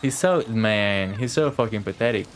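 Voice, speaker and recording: A man's voice: fairly low pitch, normal speed, a little hiss or hum. A man live streaming a game, chatting informally, swearing and thinking aloud as he plays. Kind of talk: casual live playthrough commentary.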